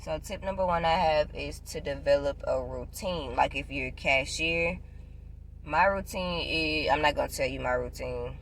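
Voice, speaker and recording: A woman in her twenties chatting casually to camera, giving job advice, loud and playful and repeating herself often. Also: sits low, normal speed, a little hiss or hum. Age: 20s. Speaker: vlogger.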